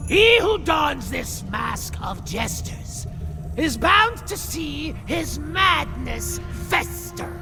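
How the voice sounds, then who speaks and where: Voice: sits high, sing-song, slow pace, gravelly, music in the background, full character voice. An actor voicing a mad, gleeful jester-like spirit chanting rhymes in theatrical singsong for a video game. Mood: gleeful menace. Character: mad cackling jester-like spirit reciting a rhyming curse.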